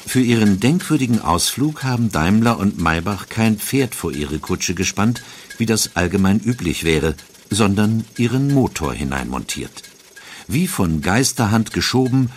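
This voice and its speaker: A man's voice: fairly low pitch, sing-song, a little slow, slight background noise. A man narrating a scripted documentary.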